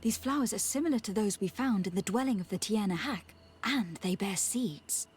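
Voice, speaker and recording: A woman playing a courteous, inquisitive noblewoman in a fantasy video game, formal and thoughtful, with a husky voice. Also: medium pitch, animated, moderate pace, a little mellow, soft background music. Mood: thoughtful, composed. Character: courteous, inquisitive young noblewoman envoy and adventurer. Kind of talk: video game dialogue acting.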